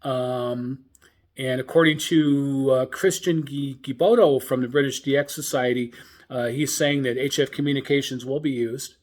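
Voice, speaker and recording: A man hosting a hobbyist radio news show in an informal, halting, hesitant manner. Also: medium pitch, steady tone, a little mellow.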